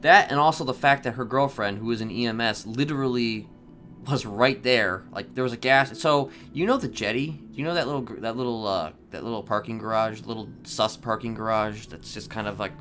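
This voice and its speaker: A man in an improvised in-character roleplay conversation, talking haltingly and often restarting his phrases. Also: fairly low pitch, a bit bright. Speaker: roleplay game player.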